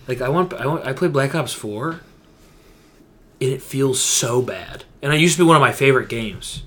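A man's voice: medium pitch, animated, moderate pace, fairly bright tone. A man co-hosting a gaming podcast, chatting casually in an emphatic, slangy way and often repeating himself for effect. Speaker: podcast co-host.

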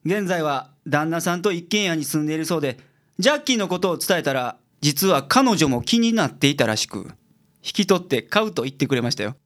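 A man's voice: on the high side, animated, fairly quick, a bit bright. A man playing a blunt, logical private detective with a Kansai accent in a radio drama. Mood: composed, explaining. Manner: explanatory, blunt with his juniors. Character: gruff, logical male private detective, secretly soft-hearted.

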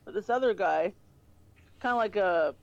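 A woman appearing as a guest on a podcast who chats casually, in an animated and slangy way. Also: medium pitch, moderate pace, vocal fry, muffled recording, crowd noise.

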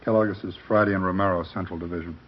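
An actor playing a blunt, commanding police captain in a vintage radio crime drama, clipped and businesslike. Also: male voice, sits low, steady tone, very fast, natural voice. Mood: pressing. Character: brisk, commanding police captain questioning a suspect. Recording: muffled, noisy vintage radio recording.

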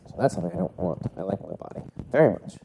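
A man's voice: fairly low pitch, very animated, rapid-fire, gravelly, noisy background. A man recovering from a cold, congested and coughing, rambling casually through his solo podcast with many fillers and self-corrections. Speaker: podcast host.

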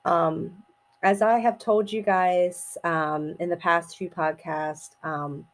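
A middle-aged woman with a slightly raspy voice hosts a personal podcast, speaking casually in a hesitant, reflective, halting way. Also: lowish voice, steady tone. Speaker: podcast host.

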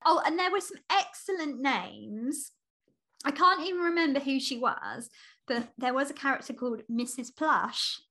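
A woman co-hosting a podcast, chatting casually about books in an expressive, chatty, giggly manner. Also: high-pitched, moderate pace. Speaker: podcast co-host. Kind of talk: casual book discussion chat.

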